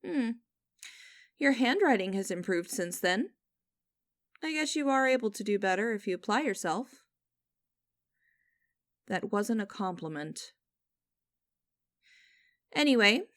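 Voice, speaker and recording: A woman playing a cold, condescending female boss in an ASMR audio roleplay, speaking to the listener in a deliberate, commanding, mocking way. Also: on the high side, dry recording, studio quality. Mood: stern, commanding. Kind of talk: ASMR roleplay acting, direct address to listener.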